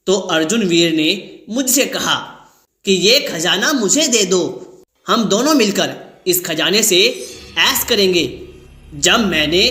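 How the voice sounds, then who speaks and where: Casting: sly, greedy young cartoon prince male narrator acting, raised pitch, sharp tone. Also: roomy sound, exaggerated voice.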